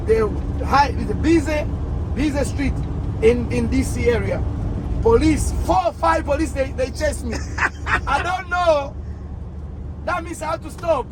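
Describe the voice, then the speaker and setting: A middle-aged man from Tanzania with a Tanzanian accent, telling personal stories casually and repeating phrases for emphasis. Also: extremely high pitch. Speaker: truck driver telling his experience. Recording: noisy outdoor urban traffic noise.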